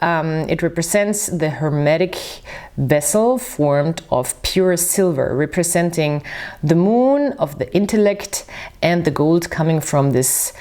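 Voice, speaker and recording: Soft-spoken non-native woman reading tarot. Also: low-pitched. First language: not English. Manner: soft, musing, reads slowly from a book. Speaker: tarot reader. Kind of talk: casual tarot reading to camera.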